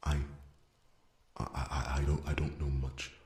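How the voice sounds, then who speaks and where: A man playing an earnest, devoted blacksmith warrior in a fantasy ASMR roleplay, stammering and repeating words, with a breathy voice that often sinks toward a whisper. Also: a bass voice, flat delivery, measured pace, a little mellow. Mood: grave, reflective. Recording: roomy, echoing sound.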